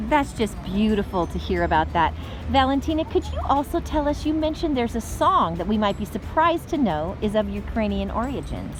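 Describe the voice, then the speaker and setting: A woman leads a friendly interview and reflects back what her guests say in an affirming tone. Her pitch sits mid-range. Clear music plays under her voice. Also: big pitch swings, normal speed, rough recording. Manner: warm, affirming, repeats back what she hears. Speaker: host, interviewer.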